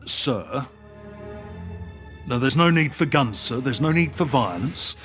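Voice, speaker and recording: An actor playing a wary former tramp turned publican in an audio drama, husky-voiced, with a folksy, deferential manner. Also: male voice, mid-range voice, lively intonation, takes it slowly, fairly bright tone, soft background music. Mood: frightened.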